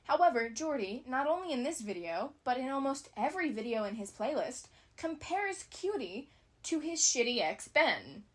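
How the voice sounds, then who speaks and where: A woman's voice: high voice, sing-song, normal speed. A woman giving scripted analysis commentary as a video essayist, with casual asides.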